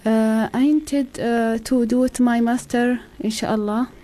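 A young woman in her twenties from Saudi Arabia, speaking English as a second language with an Arabic accent as a radio guest, halting and searching for words. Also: fairly high pitch, fairly even intonation, mellow. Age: 20s. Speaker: guest, exchange student. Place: radio studio.

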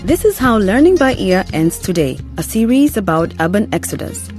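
A woman's voice: medium pitch, big pitch swings, normal speed. A woman announcer reading a scripted radio programme outro. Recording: light music behind.

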